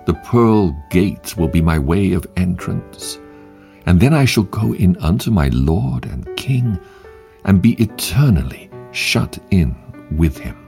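Male host reading devotional quotes, slow and deliberate, expressive melody, faint music underneath. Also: low voice, vocal fry. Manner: measured, emphatic reading with long pauses. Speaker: podcast host and devotional reader.

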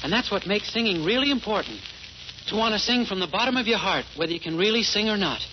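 A man's voice: high voice, lively intonation, fast. A naive young American man speaks with kindly eagerness in old radio sitcom fashion. The sound is dull and plainly noisy, like an aged 1940s broadcast. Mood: gentle, kindly. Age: young adult. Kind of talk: 1940s radio comedy acting.

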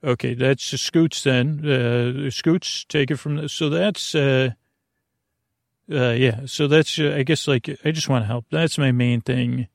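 A man with a creaky voice hosting a sleep podcast, lulling and soothing as he meanders haltingly through a rambling bedtime monologue. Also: medium pitch, fairly even intonation, no room sound, studio quality. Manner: meandering, halting, repeats and drifts off topic. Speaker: podcast host.

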